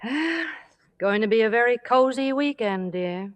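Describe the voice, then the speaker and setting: An actress playing a composed, quietly scheming wife, speaking in a measured, arch radio drama manner. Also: female voice, a little high, lively intonation. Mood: cool, wry. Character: composed, wry, quietly scheming married woman narrator. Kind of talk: 1950s radio drama narration and dialogue. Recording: old radio broadcast with music behind.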